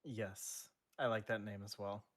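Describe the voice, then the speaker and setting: A man co-hosting a casual stream, laughing loudly and swearing offhandedly, who also reads a fanfic aloud. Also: lowish voice, steady tone, measured pace, no room sound, studio quality. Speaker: co-streamer and reader.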